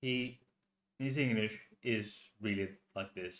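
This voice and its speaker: A man from Finland hosting a casual chat, talking in a halting way full of restarts and filler sounds. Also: on the low side, a bit bright. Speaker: host.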